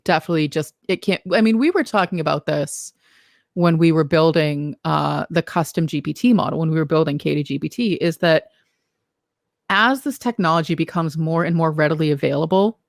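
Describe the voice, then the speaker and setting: A woman's voice: low-pitched, brisk, creaky, no echo, studio quality. A woman co-hosting a podcast in casual conversation, practical and questioning, with a lot of "you know.". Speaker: podcast co-host.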